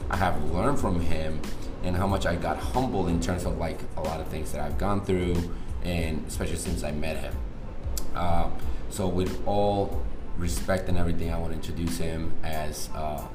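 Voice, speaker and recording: A man's voice: low-pitched, normal speed. A man hosting a podcast, talking energetically and rambling a little, laughing often with his guest. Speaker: podcast host. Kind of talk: casual podcast conversation. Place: room outside a studio. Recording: roomy sound with music underneath.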